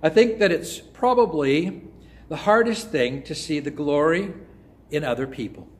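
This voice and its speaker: An older man preaching a sermon in a church, close to a phone or webcam. He speaks in a measured way and often drops to a soft, hushed voice. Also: a little high. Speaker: pastor. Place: church sanctuary before an altar cross.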